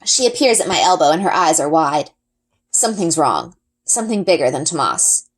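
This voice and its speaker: A woman narrating a children's book as an expressive read-aloud. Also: sits low, moderate pace, breathy edge. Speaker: story reader.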